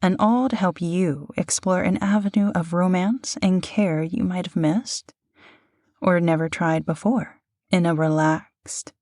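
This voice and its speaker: A woman with a lowish voice co-hosts an audio series in scripted banter. Her manner is teasing yet reassuring, and the recording is dry and intimate, with no room around her. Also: fairly even intonation, measured pace, darkish tone, dry recording. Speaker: voice actor co-hosting an audio series.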